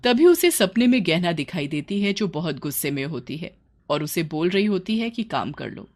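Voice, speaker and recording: A woman's voice: fairly low pitch, sing-song, normal speed, a little mellow. A woman storyteller reading scripted narration for a moral tale.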